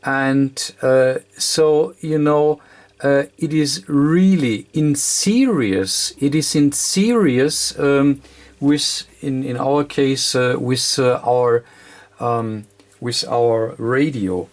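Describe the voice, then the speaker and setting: A presenter giving an unscripted technical explanation in a very hesitant, halting way, with constant ums and uhs between short phrases. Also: medium pitch. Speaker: presenter of an electronics repair tutorial.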